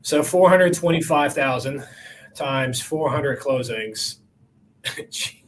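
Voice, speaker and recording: A man's voice: mid-range voice, slow, a little hiss or hum, poor audio. A man in his forties hosting a coaching podcast, talking in an emphatic, motivational way. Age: 40s. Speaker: podcast host.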